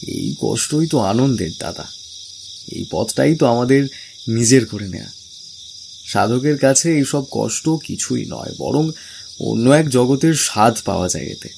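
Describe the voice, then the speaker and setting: A man playing a calm, brooding wandering mystic who narrates a horror audio story in a deliberate, suspenseful way. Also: medium pitch, creaky, a little hiss or hum. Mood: serene. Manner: slow, hushed, deliberate suspenseful delivery. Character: calm, brooding wandering tantric mystic telling his tale. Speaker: first-person narrator. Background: music and chirping crickets.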